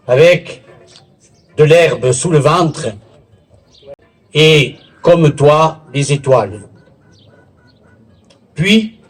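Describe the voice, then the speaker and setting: A man giving a formal public speech as a local official, in a deliberate, theatrical oratorical manner with pauses and a husky voice. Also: a little high. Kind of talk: formal public speech at a village festival, with literary recitation. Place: village festival in Bouisse, addressing a gathering.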